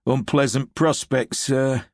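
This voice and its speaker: A man's voice: medium pitch, steady tone, slow, dry recording, studio quality. A man reading an audiobook, voicing a dutiful male army officer who answers in clipped, laconic replies. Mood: terse.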